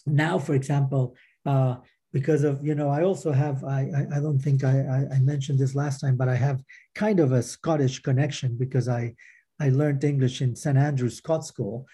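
A middle-aged man, a teacher and author being interviewed, explains his practice informally in halting, circling explanations. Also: mid-range voice, little pitch movement, mellow, slightly rough. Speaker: interview guest, meditation movement teacher and author.

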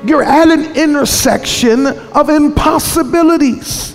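A man's voice: very high voice, unhurried. A man preaching a sermon in an emphatic, repetitive cadence that sometimes slides into half-singing. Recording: reverberant hall, faint music behind.

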